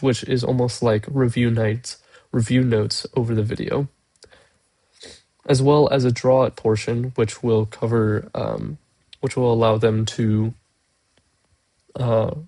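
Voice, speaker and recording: A man's voice: mid-range voice, warm tone, no room sound. A young man with a stuffy, congested nose from a cold, hesitantly walking through a lesson he built, with many "uh" fillers, recorded as a voice-over on a computer mic. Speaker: education student presenting a lesson. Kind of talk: screen-recorded lesson walkthrough. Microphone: computer mic, voice-over on screen recording.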